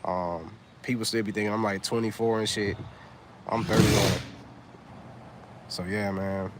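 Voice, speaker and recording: Chill guy in his 30s rambling on a live stream, kinda low voice, loud noise going on behind him. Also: fairly even intonation, measured pace. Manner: laid-back, rambling with fillers and slang. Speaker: vlogger on a livestream. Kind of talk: casual livestream chat.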